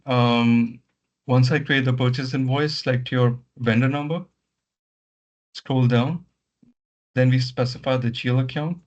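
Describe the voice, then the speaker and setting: A man giving step-by-step software training in an instructional way, often repeating his words, over an online meeting. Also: mid-range voice. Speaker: software trainer leading a session. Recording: muffled lo-fi online meeting audio.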